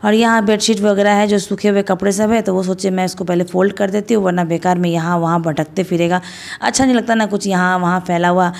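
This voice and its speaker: A woman in her thirties from India, narrating her home chores in a chatty, homely voice-over, still hoarse after a cold. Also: mid-range voice, steady tone, quick pace, a little mellow. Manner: chatty, homely, rambling with fillers. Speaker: vlogger.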